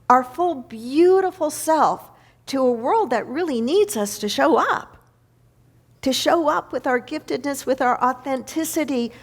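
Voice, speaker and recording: A woman minister delivering a reflective spiritual sermon, slowing down to stress key words. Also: sits high. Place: church sanctuary.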